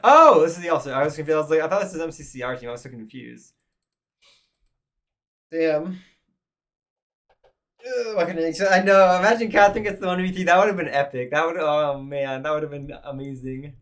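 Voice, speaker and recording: A man's voice: fairly high pitch, fairly quick, pauses often, a bit bright. A man streaming live who is losing his voice, chatting loosely and thinking aloud with lots of restarts. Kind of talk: casual live-stream commentary.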